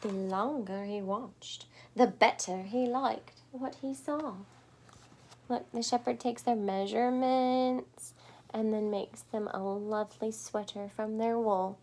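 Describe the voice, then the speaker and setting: A woman's voice: a little high, steady tone, slow pace, mellow. A woman reading a children's story aloud, expressively and with chatty little asides. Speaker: storybook reader.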